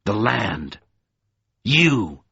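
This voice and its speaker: Brief: worn-out defiant hero, quiet clipped answers, dry close read, voiced by a male narrator. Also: lowish voice, big pitch swings, very slow, vocal fry, breathy edge, dry recording, highs cut off, voice pushed down, heavily acted. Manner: quiet, tired, stubborn replies. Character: weary, defiant sick man. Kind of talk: audiobook character voice.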